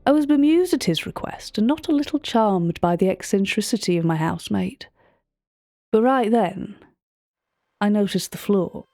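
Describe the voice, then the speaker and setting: A woman playing a polite, curious auction curator, narrating scripted audio fiction in a measured, literary way. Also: medium pitch, expressive, mellow, dry recording, studio quality, close to an everyday voice. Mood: hushed wonder. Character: curious, polite female auction catalogue curator telling eerie tales. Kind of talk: scripted first-person narration.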